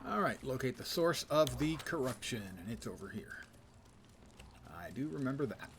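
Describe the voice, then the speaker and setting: A man's voice: mid-range voice, expressive, normal speed. Chatty man livestreaming over noisy game sound. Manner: chatty, wry muttering to himself and viewers. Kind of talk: casual live gameplay commentary. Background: video game ambience.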